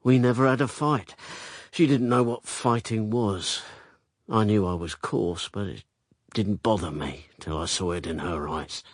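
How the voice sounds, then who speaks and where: Coarse, slow-spoken, low-voiced bitter man. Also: no echo, clean studio sound. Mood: bitter, confessional. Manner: blunt, sardonic. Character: coarse, bitter male rival and lover, self-styled common man. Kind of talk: radio drama acting.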